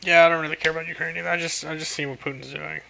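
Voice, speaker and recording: A man's voice: on the high side, flat delivery, rapid-fire, vocal fry, background noise. A young man giving casual, rambling reaction commentary with a crude, self-mocking way of talking.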